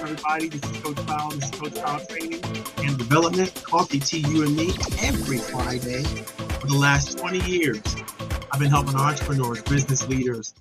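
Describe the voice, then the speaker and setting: A man from Maryland hosting a casual online business show over a video call, talking in an upbeat, enthusiastic way with frequent restarts. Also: mid-range voice. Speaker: host.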